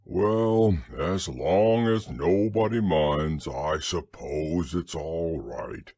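A man voicing a gloomy, resigned old male donkey in a storybook reading, talking in a slow, sighing, mournful drawl. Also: low-pitched, mellow, husky, dry recording, pitched below the performer's own voice, full character voice. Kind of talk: storybook character voice.

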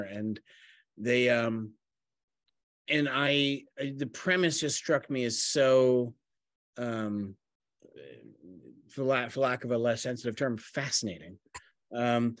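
Middle-aged man, halting, rephrases as he goes, medium pitch, dry close sound, video call discussion. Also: no echo. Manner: halting, thinks aloud, rephrases often. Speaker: playwright. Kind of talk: talkback discussion. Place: room at home, on a video call.

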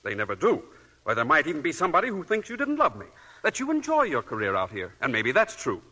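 An actor playing a jealous husband holding people at gunpoint, taunting and cold, in 1940s radio acting. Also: male voice, on the high side, wildly swooping pitch, fast talker, bright, forceful tone, full character voice. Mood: menacing. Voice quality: smooth, coldly taunting. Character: menacing jealous husband with a gun. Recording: old 1940s radio broadcast with music.

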